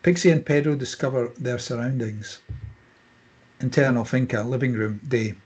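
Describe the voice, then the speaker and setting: A man serves as narrator at a screenplay table read. He delivers scene headings and stage directions as scripted narration. His voice is husky and mid-pitched. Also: fairly even intonation, normal speed, darkish tone.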